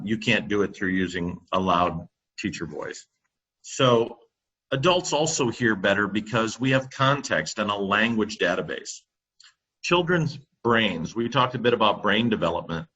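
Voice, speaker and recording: A middle-aged man giving a presentation over a computer microphone, halting often with ums and restarts. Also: fairly low pitch, sharp tone, husky, rough recording. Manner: halting, many fillers and restarts. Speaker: company presenter on classroom audio. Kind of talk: webinar presentation.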